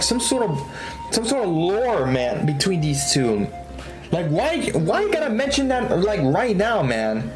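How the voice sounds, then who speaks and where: A woman's voice: a little high, rough recording. A woman livestreaming a game in casual, slangy, rambling chat with frequent exclamations. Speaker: livestream host, gamer.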